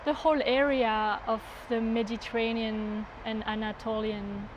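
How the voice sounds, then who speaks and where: A woman in her thirties from France, a guest sound artist talking unhurriedly and often pausing to search for words. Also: fairly high pitch, flat delivery, lo-fi sound, noisy background. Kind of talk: casual conversation.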